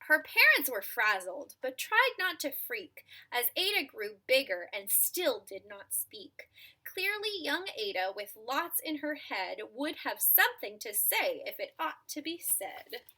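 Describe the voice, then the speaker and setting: A woman's voice: high voice, sing-song, a little slow, pushed and bright, low-quality recording. A woman teacher reading a children's storybook aloud expressively, with asides spoken directly to young listeners.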